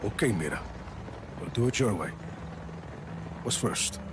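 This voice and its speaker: A man playing a cool, gruff, reluctant action hero in a video game, laconic and understated. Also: on the low side, big pitch swings, unhurried, background noise. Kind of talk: video game cutscene acting.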